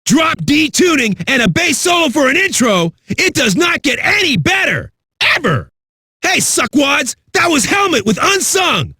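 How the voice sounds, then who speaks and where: A foul-mouthed, hyped-up rock radio DJ for a video game. His voice is raspy and very high for a man, with a forceful edge. He swaggers through every line like an aggressive party host, in a close, dry sound. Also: big pitch swings, measured pace, dry recording, heavily acted. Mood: rowdy excitement. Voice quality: raspy, gravelly. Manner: aggressive, rowdy, swaggering delivery.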